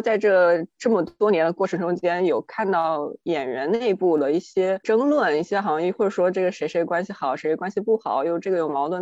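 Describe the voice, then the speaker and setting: A woman's voice: on the low side, darkish tone, no echo. A woman co-hosting a podcast in casual discussion, speaking in a reflective yet playful way. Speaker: podcast co-host. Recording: dull, band-limited dry audio.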